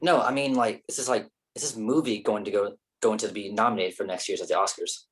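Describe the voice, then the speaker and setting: A man's voice: fairly low pitch, fairly even intonation, fairly quick, slight background noise, close to an everyday voice. A man playing a theatrical, flirty, self-pitying actor in his mid-thirties in a staged play reading. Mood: dramatic. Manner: whiny, theatrical, pretentious.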